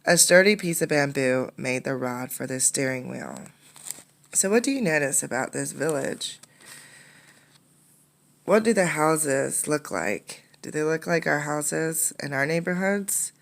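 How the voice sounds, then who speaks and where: Woman, low register with gravelly edges, for an expressive read-aloud; teacherly, puts questions to young listeners. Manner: expressive narration, pausing to pose simple questions. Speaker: teacher reading a picture book aloud.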